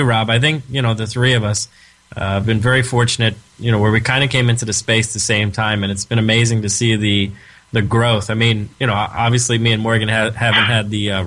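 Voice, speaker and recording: A man's voice: lowish voice, a bit bright, slight background noise. A man hosting a radio interview show, talking in a friendly, rambling way with restarts.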